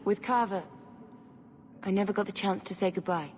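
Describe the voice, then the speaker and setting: Woman playing a devout young female warden, mid-pitched, earnest, reflective, game cutscene, long reverb. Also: normal speed, close to an everyday voice. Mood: earnest, wistful. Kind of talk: dramatic video game cutscene acting. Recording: long reverb, faint ambient noise.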